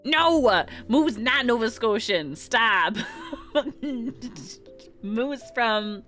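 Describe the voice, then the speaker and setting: A woman's voice: on the high side, animated, extremely slow, a bit bright, faint music behind. Giggly chatty woman streamer. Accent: American West Coast. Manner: chatty, giggly, rambling with frequent restarts. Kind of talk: casual chat reading live chat during a game stream.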